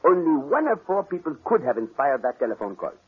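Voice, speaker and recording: An actor playing a courteous, self-assured detective with a French accent, speaking formally and precisely in a 1940s radio drama. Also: male voice, fairly high pitch, very animated, a little fast, slightly rough. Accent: French-accented English. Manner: formal, precise, theatrically polite. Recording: muffled, scratchy 1940s radio recording.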